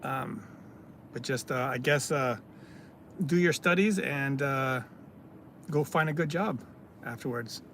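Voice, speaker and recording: Gravelly man chatting on a live stream. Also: mid-range voice, fairly bright tone, slight background noise. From California, United States. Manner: reads viewer comments aloud and answers them, halting with many fillers. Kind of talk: casual live-stream chat.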